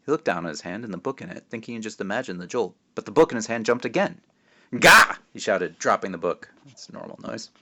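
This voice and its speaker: A man reading a children's book aloud as its author, expressive and wry, slipping in casual asides. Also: mid-range voice. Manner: expressive, self-interrupting, wry.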